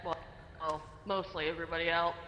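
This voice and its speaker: Bright, echoey roleplay game master. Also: medium pitch, little pitch movement, fairly quick. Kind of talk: improvised roleplay narration and in-character talk. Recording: echoey reverberant voice chat with faint noise.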